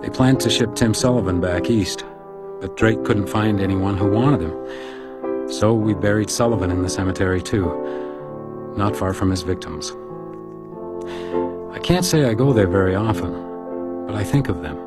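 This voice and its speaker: Man acting a weary low-voiced house detective, music underneath. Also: measured pace, long pauses, natural voice. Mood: reflective narration. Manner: terse, downbeat, resigned. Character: weary, guilt-ridden male hotel house detective, gruff and stoic. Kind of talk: radio crime drama acting.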